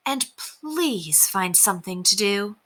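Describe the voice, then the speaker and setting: A woman's voice: medium pitch, animated, slow pace, fairly bright tone, slightly rough, heavily acted. A woman voicing a busy, kindly but mildly exasperated mother in a storybook, chiding with emphasis. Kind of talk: children's storybook character voice.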